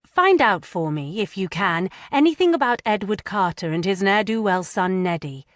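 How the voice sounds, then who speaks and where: A woman's voice: medium pitch, lively intonation, moderate pace, no room sound, clean studio sound, natural voice. A woman voicing a firm but caring young lady in an audiobook, insistent and soothing by turns. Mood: commanding. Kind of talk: audiobook character voice.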